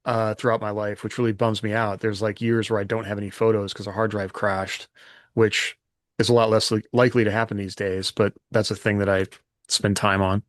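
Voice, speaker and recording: A man's voice: fairly low pitch, level tone, a little fast, no echo, studio quality. A man chatting casually as a co-host on a podcast.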